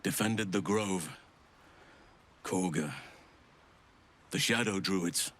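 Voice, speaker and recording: An actor playing a weary, earnest elven archdruid in a fantasy game, speaking in a measured, solemn way. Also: male voice, low-pitched, a bit bright. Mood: somber recollection. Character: weary, earnest elder elf archdruid, male. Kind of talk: fantasy game character acting.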